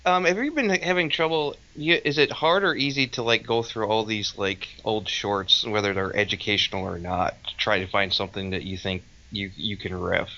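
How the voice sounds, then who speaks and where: A man hosts a casual podcast, getting excited and tripping over his words as he repeats himself. His voice is very bright and forward. The recording sounds a little dull and lo-fi. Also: lowish voice, moderate pace, hardly any pauses. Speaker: podcast host.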